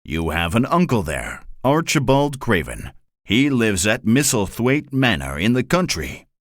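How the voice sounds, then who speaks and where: A formal, matter-of-fact clergyman, as the narrator plays him, delivering instructions plainly. His pitch moves widely in a dry, close sound. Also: huge pitch swings, unhurried, no echo, exaggerated voice. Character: formal middle-aged clergyman.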